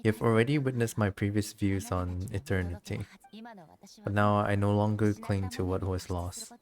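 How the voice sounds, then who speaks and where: A woman voicing a dignified, reflective female shogun from a game in a formal, measured, solemn manner. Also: very deep voice, steady tone, darkish tone. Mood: calm, formal.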